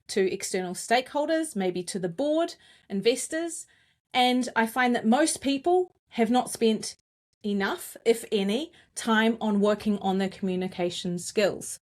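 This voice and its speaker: A woman from New Zealand with a New Zealand accent, a communications coach answering interview questions in a deliberate, clearly articulated cadence. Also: medium pitch.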